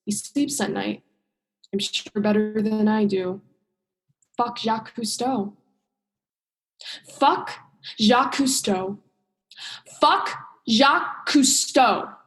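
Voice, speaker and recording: A woman's voice: medium pitch, slow pace, pauses often. A woman drama student acting a bitter, sarcastic young female scientist in a radio play, sardonic and emphatic, stretching stressed words. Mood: angry. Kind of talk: radio play monologue and dialogue.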